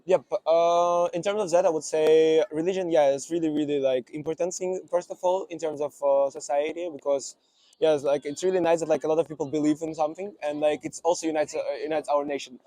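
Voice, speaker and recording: Young man, student guest; mellow tone, dry and close, halting. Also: a little high, fairly even intonation, no echo, poor audio. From Kyrgyzstan. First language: Russian. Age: young adult. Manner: hesitant, many fillers and restarts. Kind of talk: casual conversation. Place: outdoor city park.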